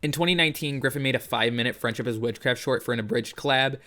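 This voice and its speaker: A man hosts a casual video essay in an average-pitched voice. He comments in a sardonic, joking manner. His delivery is conversational and peppered with swearing. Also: fast talker, pushed and bright.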